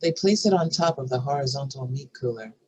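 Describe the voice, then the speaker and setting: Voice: medium pitch, lively intonation, brisk, a little mellow, breathy edge. A narrator reading script stage directions in a scripted narration style. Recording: faint background noise.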